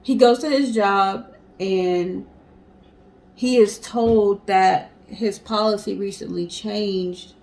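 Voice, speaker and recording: A woman's voice: mid-range voice, a little mellow, rough recording. A woman co-hosting a casual storytelling show in a conversational, explanatory way. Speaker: co-host.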